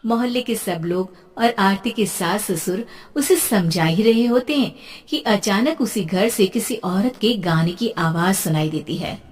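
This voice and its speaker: A woman's voice: mid-range voice, unhurried, hardly any pauses, a little mellow, a little hiss or hum. A woman giving scripted, dramatic narration of a cartoon story.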